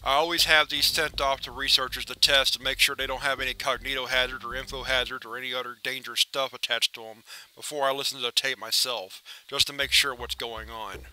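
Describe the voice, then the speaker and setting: A man's voice: mid-range voice, little pitch movement, fairly quick, few pauses, sharp tone, low-quality recording. A man narrating a scripted fictional audio log in character as an agent, explaining things in a matter-of-fact way.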